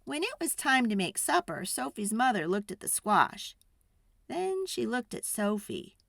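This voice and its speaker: A woman reading a children's storybook aloud in a dramatic, expressive and deliberate narration. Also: a little high, creaky, no room sound, studio quality.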